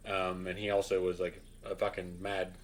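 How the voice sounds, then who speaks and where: A man's voice: low-pitched, little pitch movement, moderate pace. A man co-hosting a casual fan discussion in a blunt, opinionated, sweary way. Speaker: co-host of a reaction show.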